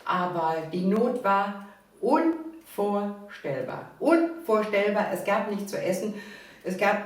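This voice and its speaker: Slow-paced woman hosting in a very echoey room. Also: medium pitch, expressive. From Cologne, Germany. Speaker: host of a local talk show. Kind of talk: spoken introduction and interview chat. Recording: very echoey room, distant microphone.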